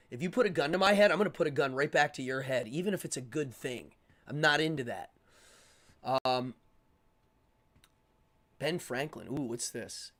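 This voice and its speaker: A man hosting a live stream, talking casually and opinionatedly as he answers chat, rambling and repeating words in quick bursts. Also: a little high, fairly bright tone. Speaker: live-stream show host.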